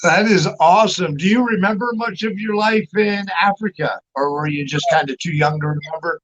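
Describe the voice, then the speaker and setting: A man hosting a casual live show, talking informally and haltingly. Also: high voice, fairly bright tone. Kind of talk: casual livestream interview. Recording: dull, muffled livestream audio.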